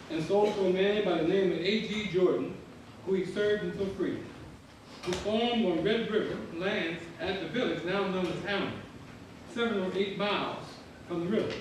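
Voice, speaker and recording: A man's voice: high voice, lightly acted. A man giving a dramatic reading as an earnest son seeking his late father's lost family. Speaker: stage reader. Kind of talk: dramatic reading of historical letters. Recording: very echoey hall, distant, clear background noise.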